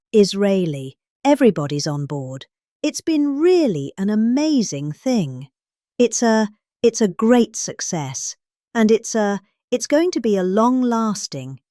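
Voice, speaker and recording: A middle-aged woman with a British accent reads a political speech aloud. Her delivery is theatrical, with highly varied intonation, peculiar pauses and overdone emphasis. Her voice sits at an average pitch in a very dry recording. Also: darkish tone, no echo. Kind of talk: dramatic reading of a political speech transcript.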